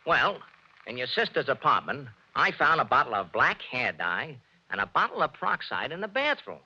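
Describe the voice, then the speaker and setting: An actor playing a confident male detective in a 1940s radio drama, laying out the solution briskly and assuredly. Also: mid-range voice, very animated, bright, forceful tone, breathy edge, full character voice. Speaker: detective explaining the case. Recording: dull 1940s radio broadcast recording.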